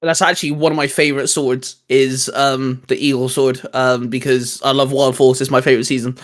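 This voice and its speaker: A man's voice: medium pitch, flat delivery, fairly quick. A man co-hosting a casual fan discussion, talking in a rambling, enthusiastic way. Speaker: co-host of a fan discussion show. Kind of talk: casual chat about geeky topics.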